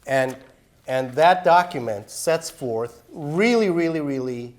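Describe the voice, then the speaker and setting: A man's voice: fairly high pitch, expressive, slow pace. Earnest middle-aged man giving a public talk. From Iran. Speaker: executive director of a human rights group. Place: live public forum.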